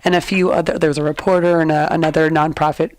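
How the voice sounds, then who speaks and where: A woman's voice: sits low, level tone, fast talker, darkish tone, dry recording. A woman from the United States, a nonprofit executive director, answering interview questions in an eager, chatty way with plenty of fillers.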